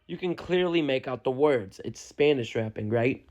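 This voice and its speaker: A young man, a music producer, giving a loose, chatty step-by-step software lesson and often checking in with the listener. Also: mid-range voice, animated, normal speed, muffled recording. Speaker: music producer teaching a tutorial. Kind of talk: casual step-by-step software tutorial.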